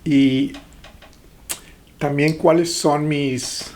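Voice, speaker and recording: A middle-aged man from the Texas-Mexico border, a guest in an interview, speaking with hesitant pauses and fillers. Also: fairly high pitch, steady tone, darkish tone, slight background noise. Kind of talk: interview conversation.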